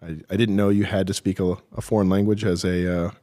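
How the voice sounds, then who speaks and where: A man's voice: low-pitched, level tone, fairly quick, dark tone. A middle-aged military veteran, a man, telling his career story in an interview with dry, self-deprecating humor and many hesitations.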